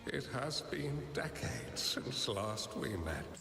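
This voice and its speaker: An actor playing a wise old male hermit strategist in a game cutscene, measured and gently reassuring. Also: medium pitch, very animated, roomy sound, in a large hall, faint music behind, heavily acted. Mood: calm, reflective. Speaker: game character.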